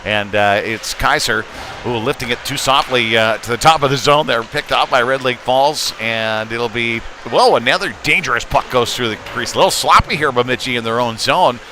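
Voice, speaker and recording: Halting male hockey commentator over venue noise. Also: medium pitch. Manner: halting, filler-heavy, conversational delivery. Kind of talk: live amateur sports play-by-play commentary. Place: live at a hockey rink. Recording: clear background noise, live venue ambience.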